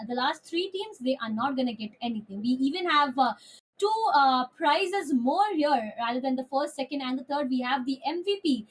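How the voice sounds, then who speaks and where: A woman's voice: high voice, rough recording. A woman casting a live esports match over a headset mic, talking in a chatty way with many filler sounds. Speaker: esports caster.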